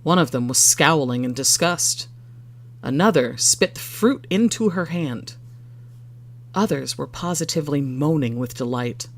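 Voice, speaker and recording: A storyteller reading a first-person short story in a measured, expressive way. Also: medium pitch.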